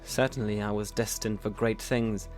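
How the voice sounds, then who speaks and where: A man narrating his character's backstory in a measured storytelling way. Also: fairly low pitch, fairly even intonation, darkish tone. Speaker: podcast host and player. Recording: faint music behind.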